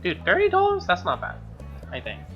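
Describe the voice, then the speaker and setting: A man's voice: high voice, huge pitch swings, brisk. A man reading a visual novel aloud as a let's play host, with wry, joking casual asides. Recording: dull lo-fi sound, music behind.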